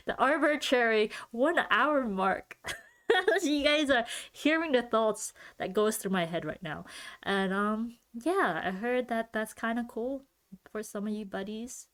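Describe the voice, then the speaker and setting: A woman's voice: medium pitch, a bit bright. A woman hosting her own podcast alone, talking casually and thinking aloud with a giggly, loopy manner. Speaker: podcast host and streamer. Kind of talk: casual rambling solo podcast talk.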